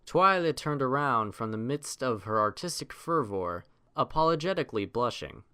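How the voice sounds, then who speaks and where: A man reading scripted fiction as a narrator, in a measured, literary storytelling manner. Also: mid-range voice, animated, pushed and bright, clean tone.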